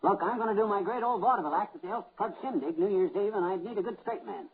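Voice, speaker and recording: An actor playing a boastful, easily exasperated middle-aged husband in a 1950s radio comedy. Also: male voice, high-pitched, fast, husky, full character voice. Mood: eager. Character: blustery, self-assured husband, amateur vaudeville joker. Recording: noisy narrow-band 1950s radio recording.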